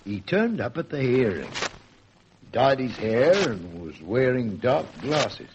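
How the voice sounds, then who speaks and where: A man playing an older, avuncular British police sergeant in a vintage radio drama, with a gruff, colloquial storytelling manner. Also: mid-range voice, very animated, slow, dull audio. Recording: noisy old radio recording.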